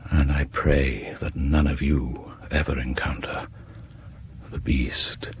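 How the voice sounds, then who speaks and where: An actor playing a grave, sinister British narrator of radio horror tales, deliberate and dropping into whispers. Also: male voice, extremely low pitch, level tone. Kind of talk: dramatic scripted horror narration. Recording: faintly noisy vintage radio broadcast.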